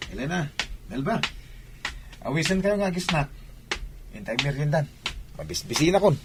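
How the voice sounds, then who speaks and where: A man's voice: a little high, sing-song, normal speed, noisy background. A man acting an eager coworker in a radio drama.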